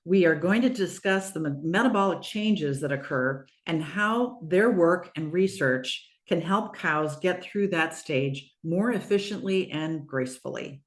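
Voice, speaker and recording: A woman's voice: fairly low pitch, lively intonation, a little slow, hardly any pauses. A woman hosting a podcast, greeting listeners and introducing her guests in a friendly, upbeat way. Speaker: podcast host.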